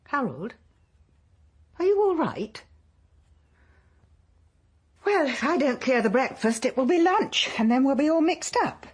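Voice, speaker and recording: A woman playing a brisk, fussy wife in a radio play, with tart, deflecting remarks. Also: high-pitched, very animated. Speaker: radio drama actor.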